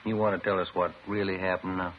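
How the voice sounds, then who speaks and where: A man acts as a patient, skeptical male detective with a lowish voice. He presses in an understated, matter-of-fact way, in 1950s radio crime drama style. The recording is dull and faintly noisy. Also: little pitch movement, fast talker, close to an everyday voice. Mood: skeptical, probing.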